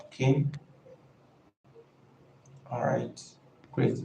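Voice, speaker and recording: A man coaching a live online class in an informal, halting and deliberate way, stressing key points by repeating them. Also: mellow, dull audio. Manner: emphatic, repeats key phrases. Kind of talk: informal live teaching talk. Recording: echoey room, faint background noise.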